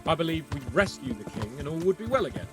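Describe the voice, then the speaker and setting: A man's voice: on the high side, animated, fast, vocal fry, over music. An actor playing a cocky, worldly young medieval nobleman with a flippant, wry way of talking in video game dialogue. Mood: wry, candid.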